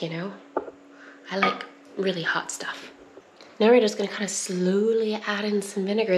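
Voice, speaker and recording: Chatty young woman in echoey kitchen. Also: mid-range voice. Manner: chatty, laughs easily, coughs at pepper fumes. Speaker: homestead cooking vlogger. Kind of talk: casual cooking demonstration. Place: home kitchen, indoors. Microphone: wide camera on the counter. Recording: echoey kitchen with background noise.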